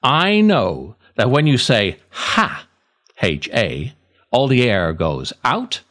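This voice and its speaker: A middle-aged man reading a scripted comic monologue as a podcast host, in a wry, self-deprecating way with theatrical pauses. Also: medium pitch, sing-song, fairly bright tone. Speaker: podcast host, writer reading own essay.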